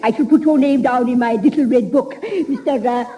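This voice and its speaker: A woman's voice: on the high side, a little fast, dark tone, close to an everyday voice. A woman playing a gushing, fussy spinster who runs an amateur opera, in a 1960s radio sitcom.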